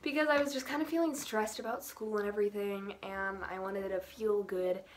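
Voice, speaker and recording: A teenage girl talks casually to her camera, rambling through her thoughts with plenty of fillers and small giggles. Her voice sits at an ordinary middle pitch for a girl. A faint hiss runs underneath the recording. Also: steady tone, moderate pace. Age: teens. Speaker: book vlogger.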